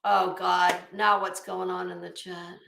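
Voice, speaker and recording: A woman's voice: on the low side, flat delivery, measured pace, vocal fry, fairly bright tone, a little hiss or hum. A woman livestream host on a casual rant to her chat, blunt and coarse, with an emphatic, sarcastic, challenging delivery. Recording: echoey room.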